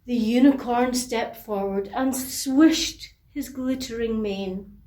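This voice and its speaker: Slow, expressive woman telling bedtime stories. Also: on the high side. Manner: expressive, deliberate, with asides to young listeners. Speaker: bedtime storyteller. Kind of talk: children's story narration. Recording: echoey, reverberant room.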